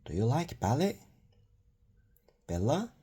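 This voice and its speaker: A man's voice: mid-range voice, big pitch swings, takes it slowly, mellow, breathy edge, pitched above the performer's own voice, exaggerated voice. A man voicing a kindly, polite female substitute teacher in a children's storybook. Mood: friendly.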